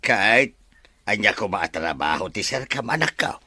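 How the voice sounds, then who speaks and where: A man playing an animated, meddlesome father with a rough voice in a family melodrama. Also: on the low side, moderate pace, no room sound, heavily acted. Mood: coaxing. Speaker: actor. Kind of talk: melodrama dialogue.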